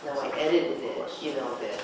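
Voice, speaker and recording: Echoey, far-off group leader probing with questions. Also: mid-range voice. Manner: probing, halting, thinking aloud. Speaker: discussion leader, director of a play reading. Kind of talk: leading group discussion, asking questions. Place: echoey room, group table read. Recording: roomy, echoey, noisy distant mic.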